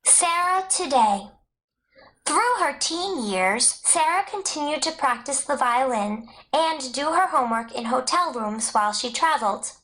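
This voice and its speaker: Woman narrator w/ high pitched voice reading a school text out loud. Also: expressive, measured pace, poor audio. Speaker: audiobook narrator. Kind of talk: scripted educational narration, read aloud.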